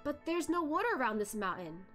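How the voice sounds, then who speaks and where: A woman voicing a sharp-witted, teasing young male investigator who questions pointedly. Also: high-pitched, sing-song, fairly quick, soft background music. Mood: reasoning.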